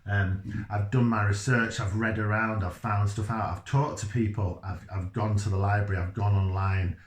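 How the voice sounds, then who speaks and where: A man's voice: fairly low pitch, fairly even intonation, moderate pace. A middle-aged man with a Leeds accent gives an informal, earnest explainer to camera, rambling and interrupting himself. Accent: Leeds, Yorkshire. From Leeds, England. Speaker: children's author. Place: small indoor room by a whiteboard. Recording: echoey room, faint background noise.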